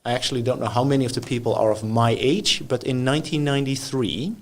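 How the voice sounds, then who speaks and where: A man in his fifties with a Dutch accent, a professor telling his career story as a podcast guest in a relaxed, self-deprecating way. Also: mid-range voice, fast talker. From Netherlands. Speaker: guest, software engineering professor. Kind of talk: casual podcast interview.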